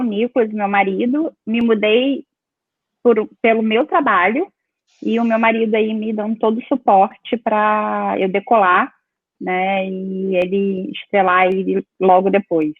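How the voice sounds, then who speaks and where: A woman's voice: medium pitch, fairly even intonation, unhurried, no room sound. Carioca woman on a tinny call. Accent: Carioca Portuguese. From Rio de Janeiro, Brazil. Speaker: guest. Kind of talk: webinar self-introduction. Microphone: video call. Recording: tinny phone-like call audio.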